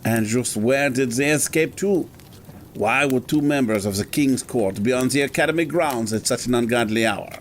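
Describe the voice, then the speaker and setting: A man's voice: medium pitch, normal speed, a little hiss or hum, close to an everyday voice. An actor playing a stern, haughty male head of an academy in an audio drama, speaking formally. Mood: imperious. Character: pompous, evasive, imperious scholar in charge of an academy.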